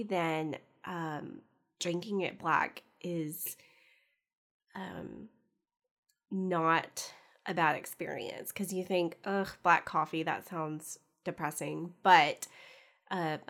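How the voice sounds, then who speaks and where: A young woman hosting a casual solo podcast, chatty and rambling with lots of fillers and soft laughs, sounding congested from a cold. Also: fairly low pitch. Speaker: podcast host.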